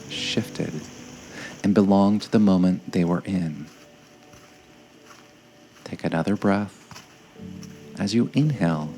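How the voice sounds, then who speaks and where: Very slow, low-voiced man guiding meditation. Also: vocal fry, slight background noise, rough recording. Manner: slow, gentle, measured delivery with frequent pauses. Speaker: meditation guide. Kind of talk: guided meditation narration, scripted.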